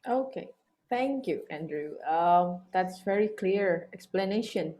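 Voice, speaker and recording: A woman's voice: fairly low pitch, expressive, warm tone. A woman whose first language is Indonesian, moderating a lecture's question session politely, with some hesitation. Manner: polite, halting, with fillers. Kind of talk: hosting a Q and A.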